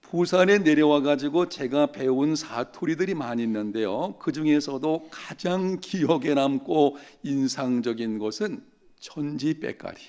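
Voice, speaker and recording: A middle-aged man preaching a sermon as a pastor, telling stories and slowing down to stress key phrases. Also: fairly high pitch, darkish tone. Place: church sanctuary.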